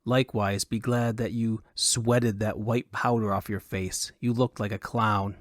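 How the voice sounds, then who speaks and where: A man's voice: lowish voice, fairly even intonation, a little slow, no room sound, natural voice. A man voicing a blunt, pragmatic hired adventurer in an audiobook, with a dry, terse, impatient manner. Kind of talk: audiobook character acting.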